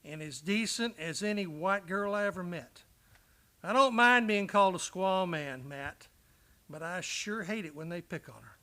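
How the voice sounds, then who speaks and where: Man as rough frontiersman, high voice, piercingly bright, folksy, hearty, affectionate, western radio drama. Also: moderate pace. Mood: sincere. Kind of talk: western radio play acting.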